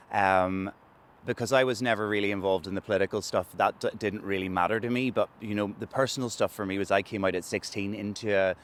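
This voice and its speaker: A man's voice: fairly low pitch, fairly bright tone. A man with a Northern Irish accent, speaking reflectively and candidly in a documentary interview. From Northern Ireland.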